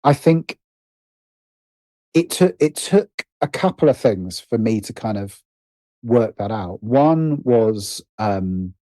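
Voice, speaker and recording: A man's voice: lowish voice, lively intonation, takes it slowly, warm tone, dry recording. A British man telling personal stories conversationally as an interview guest, hesitant and often interrupting himself as he thinks aloud.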